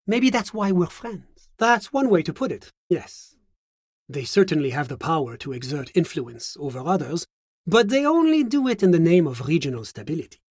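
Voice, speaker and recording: Polite government official, fastidious, evasive, formal and measured, slightly high male voice, dry studio sound, video game dialogue. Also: sing-song, a little mellow, no room sound. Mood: polite, genial, then officious, formal. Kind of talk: video game character voice acting.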